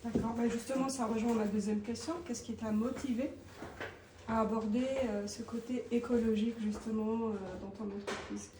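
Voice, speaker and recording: A woman's voice: on the high side, little pitch movement, moderate pace. A woman interviewer asking brief, informal questions and giving short acknowledgements. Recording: echoey room, distant mic, faint noise.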